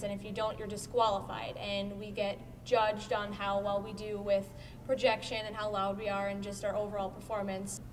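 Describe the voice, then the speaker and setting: A teenage young woman explains things earnestly, in the informal way of a student interview. Her mid-pitched voice comes from a distance in a reverberant space, with a faint rumbling noise underneath. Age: teenager, high school student. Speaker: student actor being interviewed. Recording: echoey hall, distant mic, rumbling noise.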